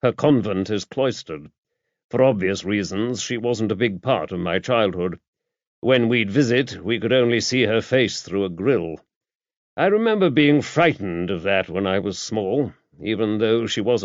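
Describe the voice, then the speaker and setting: A man voicing a mild, courteous priest in an audiobook, measured and wry. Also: lowish voice, no room sound, natural voice. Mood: reflective. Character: mild, courteous male priest, a former spy, wryly inquisitive. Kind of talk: audiobook character dialogue. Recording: dull, muffled lo-fi audio.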